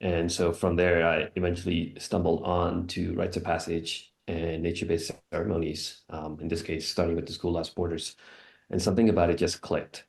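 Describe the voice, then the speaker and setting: Very deep, flat-voiced gravelly man. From Singapore. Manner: reflective, earnest, measured. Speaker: panelist, wilderness guide. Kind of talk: personal storytelling on a panel.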